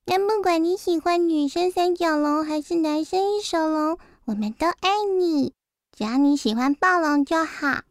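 A woman's voice: high-pitched, normal speed, no echo, studio quality. A woman voicing a bold, cheeky young daughter in a radio drama, blunt and childlike, with a Taiwanese accent. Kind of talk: Mandarin radio drama acting.

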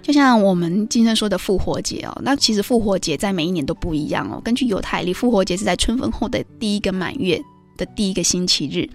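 A woman's voice: mid-range voice, expressive, quick pace, soft background music. A woman hosting a devotional radio talk with a co-host, explaining things in an earnest way.

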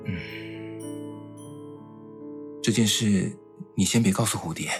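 A man's voice: on the low side, vocal fry, over music. Composed, considerate young man. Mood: calm, thoughtful. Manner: measured, polite. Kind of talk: Mandarin audio drama acting.

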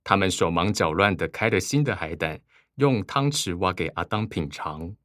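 A man's voice: deep voice, animated, normal speed, fairly bright tone, dry recording, clean studio sound. A man reading scripted narration for a radio drama.

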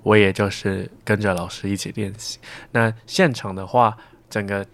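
A man's voice: fairly low pitch, fairly even intonation, normal speed, gravelly, fairly bright tone. A young man, a student guest, explaining things at length in a casual interview chat. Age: young adult.

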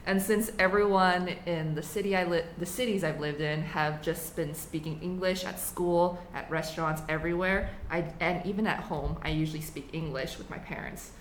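A woman's voice: fairly low pitch, normal speed, few pauses. Young woman, echoey room. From United States. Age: young adult. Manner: relaxed, chatty, laughs often. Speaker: student podcast co-host. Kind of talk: casual chat. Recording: echoey room, faint hiss.

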